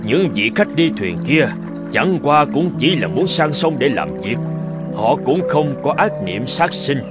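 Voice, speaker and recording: An actor playing a calm old hermit in a cartoon folk tale, speaking in a measured, lecturing way. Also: male voice, on the high side, loud music behind the voice. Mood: serene, explaining. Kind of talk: animated folk tale voice acting.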